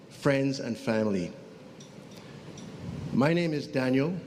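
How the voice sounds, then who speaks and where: A middle-aged man giving a formal funeral speech, deliberate and pausing often. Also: medium pitch, darkish tone, slight background noise. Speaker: son giving funeral tribute. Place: funeral service.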